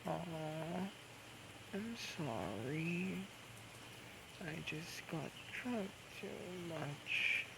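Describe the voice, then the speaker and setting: A man playing a drunk, clingy, playful young boyfriend in an intimate ASMR roleplay, with slurred, coaxing, halting speech. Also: on the high side, expressive, vocal fry, full character voice. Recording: noisy homemade microphone recording.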